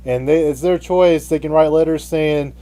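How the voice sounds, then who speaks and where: A man hosting an unscripted radio debate, speaking haltingly and often restarting his phrases. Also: a little high, warm tone. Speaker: radio show host.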